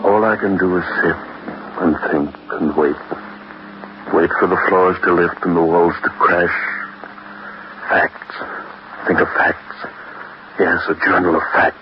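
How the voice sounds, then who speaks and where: Haunted male chemist, low brooding voice, halting and despairing, muffled noisy vintage radio horror. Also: steady tone. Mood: brooding dread. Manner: brooding, halting, repetitive monologue. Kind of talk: 1940s radio horror acting.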